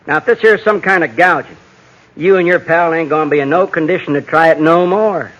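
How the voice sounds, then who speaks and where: A suspicious, blustering frontier lawman played by a man, pitched high for a male voice. He speaks with a rural American accent in the folksy style of an old radio western. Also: brisk, a bit bright, muffled recording, close to an everyday voice. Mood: threatening. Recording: vintage 1950s radio recording.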